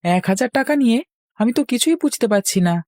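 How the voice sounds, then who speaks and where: A man's voice: very high voice, lively intonation, fast talker, darkish tone, dry recording, clean studio sound. A man voicing an earnest, scheming village boy in a cartoon, with an eager, emphatic delivery. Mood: concerned. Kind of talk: cartoon voice acting.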